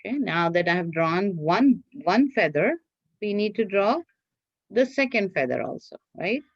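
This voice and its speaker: Female craft teacher for kids, unhurried and patient, repeats each step; dry, slightly dull lo-fi sound. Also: mid-range voice, lively intonation, no room sound. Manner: patient, repetitive, checks with listeners. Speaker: teacher leading a children's craft class. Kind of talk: step-by-step craft instruction for children.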